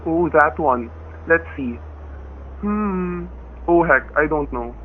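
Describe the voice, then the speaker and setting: An actor playing a plainspoken middle-aged husband who narrates a suspense story in old-time radio style, with a folksy, colloquial manner. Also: male voice, on the high side, expressive, slow pace, narrow, tinny audio. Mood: easygoing. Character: weary, plainspoken middle-aged husband telling his ordeal. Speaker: narrator and lead character. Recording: dry, band-limited old radio recording.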